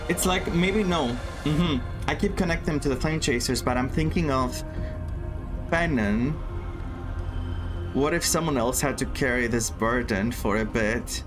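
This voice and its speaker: A man playing through a game story and thinking aloud, excited and chatty, in a room indoors. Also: mid-range voice, measured pace. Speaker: gaming streamer. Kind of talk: let's-play commentary. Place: indoor room with air conditioning. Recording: voice over game music.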